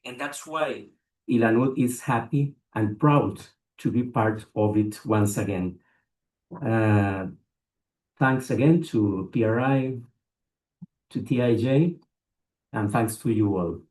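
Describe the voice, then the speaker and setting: A man delivering a formal prepared speech at a conference, measured, with a few hesitant restarts. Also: mid-range voice, darkish tone. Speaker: conference speaker. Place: large conference room. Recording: echoey room, distant mic.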